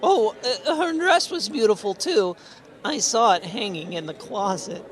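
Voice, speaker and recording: A woman's voice: medium pitch, expressive, measured pace, natural voice. A woman playing an eager, gossipy teenage schoolboy in a radio comedy, with hesitant starts and exclamations. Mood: excited, astonished. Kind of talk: old-time radio comedy acting.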